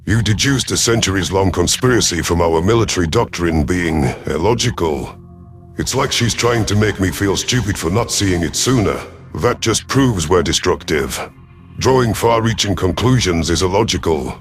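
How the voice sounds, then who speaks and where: A man voicing a proud, vengeful elderly alien reptilian commander in an audio drama, speaking in a formal, measured, sardonic way. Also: low-pitched, animated, faint music behind. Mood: sardonic, wary. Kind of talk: audio drama first-person narration and dialogue.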